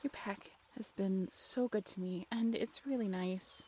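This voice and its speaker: A young woman acting an affectionate, playful girlfriend in a romantic audio roleplay, expressive and teasing. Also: mid-range voice, a little slow, a little hiss or hum, natural voice. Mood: earnest, tender. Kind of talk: romantic comfort audio roleplay, acted one-sided conversation.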